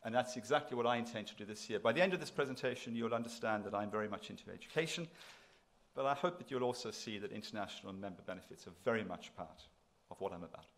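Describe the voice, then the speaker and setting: A man's voice: lowish voice, fast talker, fairly bright tone. A middle-aged man giving a public address from a podium, conversational but emphatic, repeating phrases for effect. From South Africa. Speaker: institution president giving inaugural address. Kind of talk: public lecture to an audience. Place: lecture hall, at a podium.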